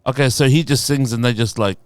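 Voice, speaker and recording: A man's voice: mid-range voice, quick pace, dry recording. A man bantering casually, blunt and slangy, swearing heavily as he talks.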